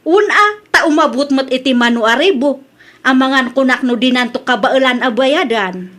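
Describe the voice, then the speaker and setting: A woman's voice: high-pitched, normal speed, a bit bright. A woman with an Ilocano accent acting a polite village woman, friend and cake maker, in an audio drama. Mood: concerned.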